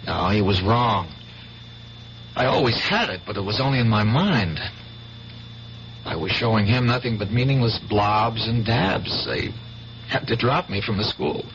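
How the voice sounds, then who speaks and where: An actor playing an earnest, dreamy and evasive painter in a 1970s radio drama, with a halting, dramatic delivery. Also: male voice, lowish voice, expressive, a bit bright. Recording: muffled 1970s radio broadcast, faint hum.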